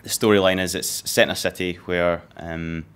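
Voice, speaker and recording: Deep, smooth, flat-voiced man. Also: moderate pace. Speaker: team leader. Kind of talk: casual interview answers.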